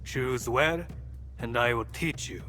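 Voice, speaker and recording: A man playing a thoughtful, principled martial arts master in a video game, measured and formal. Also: medium pitch, sharp tone. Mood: grave, instructive. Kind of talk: dramatic game cutscene acting. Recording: faint music behind the voice.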